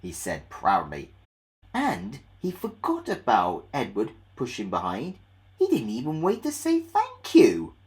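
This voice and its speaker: A man narrating a children's read-along story in a measured, expressive way. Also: mid-range voice.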